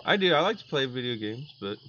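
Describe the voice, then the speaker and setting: An American man co-hosting a podcast in casual chat, halting, repeating himself and trailing off. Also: mid-range voice, very animated, sharp tone. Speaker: podcast co-host. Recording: dull lo-fi audio, noise, insect-like ambience.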